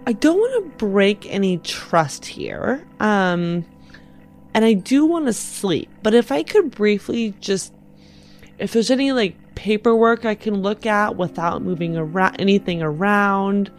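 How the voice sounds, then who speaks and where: Slow-paced woman playing a tabletop game. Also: medium pitch, lively intonation, slight background noise. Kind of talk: improvised roleplay, casual.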